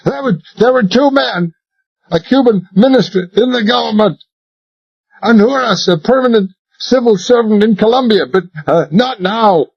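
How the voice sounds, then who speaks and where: A desperate male captive, as a male narrator puts him on, screaming high and stammering as he chokes on foul air. His voice is husky and swoops wildly, and the dull lo-fi recording flattens it. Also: slow, pauses often, heavily acted. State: choking, out of breath. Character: terrified man begging for his life.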